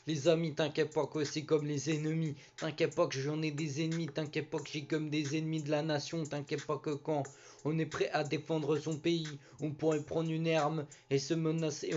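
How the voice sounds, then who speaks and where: Rambling boastful freestyle from a man, slightly higher voice, muffled mix over a beat. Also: flat delivery, normal speed, darkish tone. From Brittany. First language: French. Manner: rambling, repetitive boastful flow. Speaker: rapper. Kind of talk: freestyle rap.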